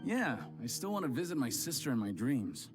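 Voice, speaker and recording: A man's voice: medium pitch, big pitch swings, a little fast, music in the background, natural voice. A man voicing a hesitant grieving townsman. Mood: doubtful. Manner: hesitant, pleading. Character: grieving townsman, reluctant dreamer.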